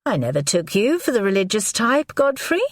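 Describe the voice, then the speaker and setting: Woman voicing a sharp, impatient woman. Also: mid-range voice, very animated, no room sound, clean studio sound, voice pushed up. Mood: teasing. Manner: brisk and biting. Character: sharp, impatient woman confronting her lover. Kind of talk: audiobook character voice.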